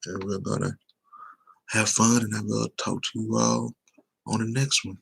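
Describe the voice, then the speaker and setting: A man chatting loosely and teasingly on a sports talk livestream, with a voice he says is going hoarse. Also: on the low side, little pitch movement, moderate pace. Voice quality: gravelly, husky. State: losing his voice. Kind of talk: casual livestream chat.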